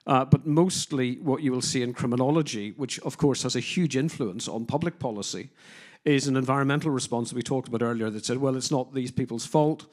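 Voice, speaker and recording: A man with a Northern Irish accent, speaking as a criminologist on a conference panel, forceful and opinionated with frequent fillers and restarts. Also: mid-range voice, fairly quick. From Northern Ireland.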